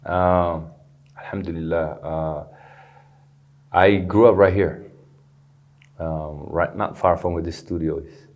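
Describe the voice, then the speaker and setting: Deep-voiced man telling his story. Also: steady tone, takes it slowly, pauses often, a little mellow, dull audio. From Somalia. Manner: earnest, candid, sprinkles Arabic phrases. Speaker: guest, recovery center founder. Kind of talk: personal storytelling interview. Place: studio.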